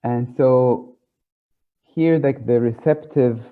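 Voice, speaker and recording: A man whose first language is Arabic, explaining ideas in an informal lecture, pausing often with fillers and checking in with "okay?". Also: medium pitch. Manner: thoughtful, hesitant, many fillers, checks in with "okay?". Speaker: tarot teacher. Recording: tinny phone-like sound.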